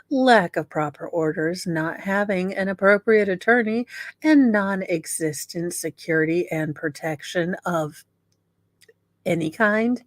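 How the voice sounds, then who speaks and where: A woman's voice: lowish voice, no room sound. A woman host doing a dramatic reading of letters, with sardonic, mocking asides.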